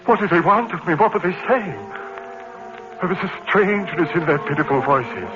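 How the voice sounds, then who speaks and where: A man's voice: high voice, expressive, normal speed, over music. An actor playing a tormented male lecturer who narrates in a brooding voice and breaks into frantic cries as he slides into madness, in 1940s radio acting style. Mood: brooding narration. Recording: dull old radio recording with faint hiss.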